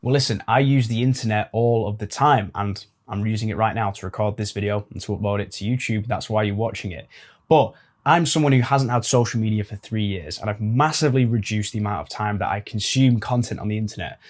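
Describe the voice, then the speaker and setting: A man's voice: lowish voice, darkish tone. A man, talking casually to viewers with informal fillers and swearing. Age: 20s. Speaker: host. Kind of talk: casual advice talk to camera.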